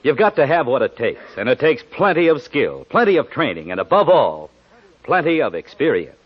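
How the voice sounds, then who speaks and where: Animated male 1940s radio pitchman. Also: moderate pace, slightly rough. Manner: emphatic, salesmanlike. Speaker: commercial announcer. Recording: muffled 1940s radio recording.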